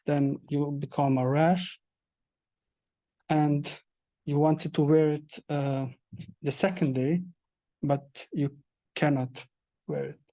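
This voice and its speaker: A young man from Bosnia, speaking as a panel guest with many pauses and restarts. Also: on the high side, steady tone, dark tone, no echo. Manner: halting, many hesitations and restarts. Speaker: panel guest, prosthesis user. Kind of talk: panel discussion answers.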